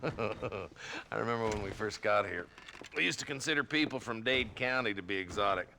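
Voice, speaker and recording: Grumbly old southern sheriff type from a cowboy game, real low voice, sarcastic, some noise behind. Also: male voice, expressive, normal speed, creaky, bright, forceful tone, full character voice. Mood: exasperated. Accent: American Southern. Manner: dry, folksy, grumbling. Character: weary, sardonic old frontier town marshal. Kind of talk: Western video game acting.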